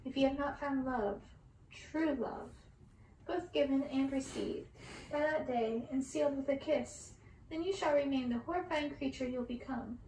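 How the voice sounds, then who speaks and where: A woman's voice: sits high, normal speed, darkish tone, echoey room, distant mic, a little hiss or hum, close to an everyday voice, rough recording. A woman voicing a beautiful young enchantress, vengeful, solemn and commanding, in a storybook reading. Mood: solemn vengeance.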